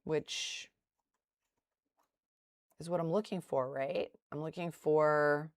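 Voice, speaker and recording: A woman's voice: low-pitched, steady tone, slow, no room sound. A middle-aged woman with a light Boston accent, hosting a live day-trading stream and thinking aloud with hesitant restarts and laughing asides. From Boston area. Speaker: day trader livestream host. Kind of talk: live commentary while trading.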